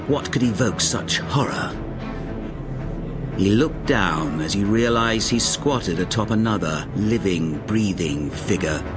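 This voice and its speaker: Music plays clearly behind a man narrating a dramatic audiobook. His voice sits a little low. He reads scripted fiction in a grave, theatrical way, with real intensity.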